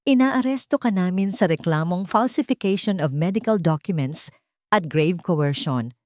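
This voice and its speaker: A woman's voice: fairly low pitch, lively intonation, normal speed, a little mellow, no echo, clean studio sound, close to an everyday voice. A woman narrator playing a stern, formal policeman in an audio love drama.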